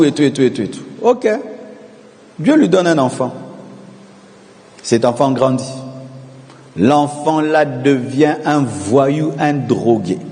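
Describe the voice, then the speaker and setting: A male pastor preaching a sermon, calling on the congregation to repeat after him and swelling into shouted refrains. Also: a little high, big-room sound. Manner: preaching with call-and-response, rising to shouted repetitions.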